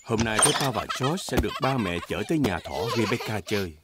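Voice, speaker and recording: A woman's voice: rumbling low, expressive, fairly quick, very noisy. A woman narrating a children's cartoon from a script.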